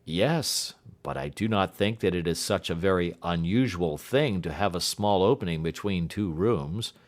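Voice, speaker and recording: A man voicing an earnest, puzzled gentleman companion in an audiobook, speaking plainly. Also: deep voice, moderate pace, lightly acted. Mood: puzzled, doubtful. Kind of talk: audiobook character dialogue.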